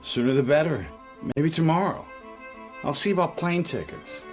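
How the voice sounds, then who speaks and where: An actor playing a smooth, pragmatic, protective man in a radio drama, speaking in a controlled, matter-of-fact way. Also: on the high side, animated, normal speed, faint music behind, natural voice. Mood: firm, practical.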